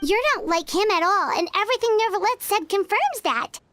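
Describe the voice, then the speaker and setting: A woman's voice: very high-pitched, lively intonation, a little fast, fairly bright tone. A woman voicing a tiny, chatty fairy companion in a game who talks about herself in the third person and speaks frankly. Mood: indignant. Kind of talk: game cutscene voice acting.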